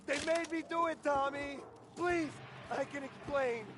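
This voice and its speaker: A man's voice: extremely high pitch, measured pace, noisy background. An actor playing a male mobster who has betrayed his boss and is frantically begging for his life in a game cutscene. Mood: pleading. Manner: desperate, pleading, shouting from a distance.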